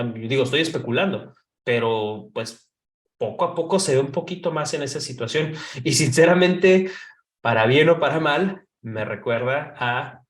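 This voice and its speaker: Mexican man with slight northern accent. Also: mid-range voice. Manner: talkative, many fillers and restarts. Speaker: podcast co-host. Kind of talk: casual panel discussion on masonic history. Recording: roomy echo.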